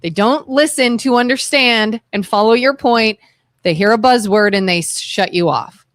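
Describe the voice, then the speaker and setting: A woman's voice: a little high, moderate pace, dry recording. A woman co-hosting a talk show from a studio, speaking emphatically and insistently. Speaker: radio talk show co-host. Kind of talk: casual opinion talk.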